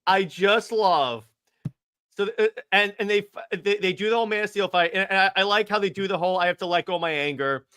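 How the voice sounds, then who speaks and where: A man's voice: high voice, fairly quick, pushed and bright, clear, resonant tone. A man co-hosting a podcast, casually talking through a movie in a conversational way.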